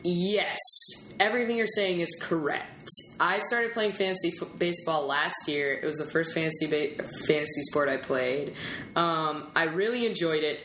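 A woman with a notably low voice hosts a relaxed podcast chat. She talks freely and laughs easily, recorded in a roomy, echoing space with faint noise behind her. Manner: chatty, quick to laugh. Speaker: podcast host. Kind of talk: casual interview chat.

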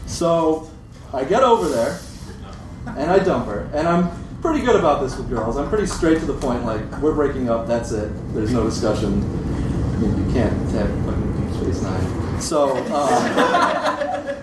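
A man with a Midwestern American accent speaks at a slightly low pitch, recorded from a distance in an echoey room. He tells a personal story casually, poking fun at himself and swearing now and then. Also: sing-song, normal speed. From Europe, Britain, moved to the States in seventh grade. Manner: casual, self-deprecating, swears. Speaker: guest storyteller. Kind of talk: live comic storytelling to an audience. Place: live event room with audience. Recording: echoey live room, distant mic, noisy.

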